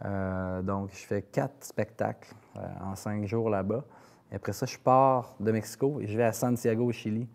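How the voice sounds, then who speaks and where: Québécois male singer-songwriter as interview guest, soft dark timbre, slightly low pitch, conversational and searching. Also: fairly even intonation, moderate pace. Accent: Quebec French.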